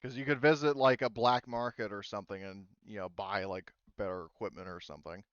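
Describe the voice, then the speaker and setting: A man's voice: lowish voice, steady tone, brisk, fairly bright tone, smooth, dry recording, highs cut off. A man running an online tabletop roleplaying game as game master, talking casually in a hesitant, rambling way with trailing thoughts.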